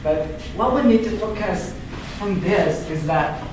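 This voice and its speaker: A man with a Korean accent teaching an English class, halting with fillers and restarts. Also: high-pitched. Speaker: student teacher. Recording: echoey classroom from far off, noisy.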